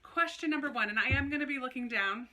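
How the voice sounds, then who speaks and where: A woman chatting casually to camera like a book vlogger, in an animated, informal way with little self-interrupting asides. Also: high-pitched, fast talker, rough recording. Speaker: book review video host. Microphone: phone camera recording.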